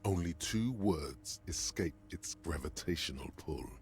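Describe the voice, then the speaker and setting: A slow, cavernous inner voice. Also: male voice, a bass voice, animated, darkish tone, faint music behind, full character voice. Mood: ominous. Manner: slow, grave, taunting. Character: ominous male inner voice of a detective's mind. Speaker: video game narrator.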